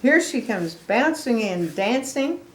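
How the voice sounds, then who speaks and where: A woman's voice: a little high, very animated, slow, a little hiss or hum. An older woman reading a picture book aloud to children, explaining things in simple phrases with little asides. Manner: simple, explanatory phrases addressed to young listeners. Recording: echoey room.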